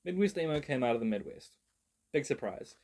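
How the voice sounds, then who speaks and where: A young New man talks through a music genre in a loose, rambling vlog style. He mocks himself, swears freely and leans on "like" as a filler. His pitch sits right in the middle for a man. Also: lively intonation, brisk, a little mellow. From New Zealand. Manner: rambling, self-mocking, swears, many "like" fillers. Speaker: video creator. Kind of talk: casual genre breakdown.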